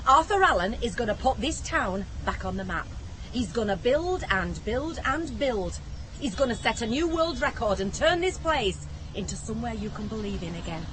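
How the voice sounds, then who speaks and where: A protective, persuasive woman in a radio drama. Her pitch is a bit high and swings widely as she teases and asserts herself. There is faint ambient noise behind her. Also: sing-song, moderate pace, fairly bright tone, rough recording. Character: bold, persuasive, protective female neighbour.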